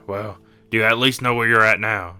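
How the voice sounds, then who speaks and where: Guy doing a tired kindly little monster guide, lowish bright voice, measured and sighing. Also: steady tone, clear, resonant tone, faint music behind. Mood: concerned, patient. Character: weary, kindly Dunsparce creature, a rescue team guide.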